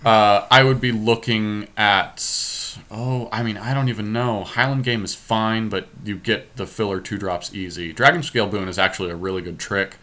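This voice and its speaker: A man with a fairly low, bright and forceful voice gives casual commentary on a card game draft. He talks through his options aloud in an analytical, conversational manner, like a host walking through his play. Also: moderate pace, few pauses. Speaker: podcast host and player.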